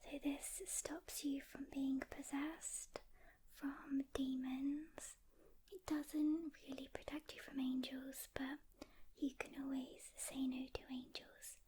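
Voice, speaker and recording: A woman speaking in a hushed, gentle ASMR whisper, unhurried, playing a calm demon hunter who talks directly to the listener. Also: sits high, a little hiss or hum. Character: calm experienced female demon hunter briefing a newcomer. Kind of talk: soft-spoken whispered ASMR roleplay.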